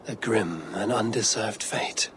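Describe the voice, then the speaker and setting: A man playing a stoic Viking clan leader in a video game, grave, measured and plain-spoken. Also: lowish voice, fairly bright tone, a little hiss or hum. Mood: somber. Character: stoic Viking clan leader, male warrior, steady and resolute.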